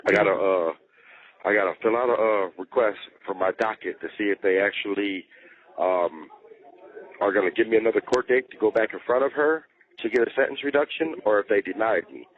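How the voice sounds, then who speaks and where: A man's voice: lowish voice, a little fast. A man talking casually on a jail phone, explaining things repetitively with slang and swearing. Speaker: jail inmate on a phone call. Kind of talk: casual personal phone chat. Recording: tinny jail phone line.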